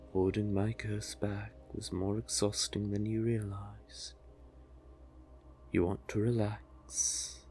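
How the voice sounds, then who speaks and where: A man's voice: on the low side, a little mellow. A man playing a seductive, manipulative male sea witch in a hypnotic audio roleplay, doting and teasing in a soothing, coaxing way.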